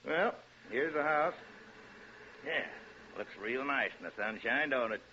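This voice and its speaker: A man's voice: mid-range voice, measured pace, pushed and bright. An actor playing a breezy, placating American property salesman with a folksy, glib manner, in a 1940s radio drama. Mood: breezy, persuasive. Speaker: real estate agent. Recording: dull, noisy 1940s radio recording.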